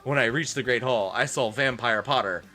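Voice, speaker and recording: A man with a bright, forceful voice reads fan fiction theatrically for a live stream. He often slips out of the story into amused comments, with music playing behind him. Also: mid-range voice, fairly quick. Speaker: streamer doing a dramatic reading.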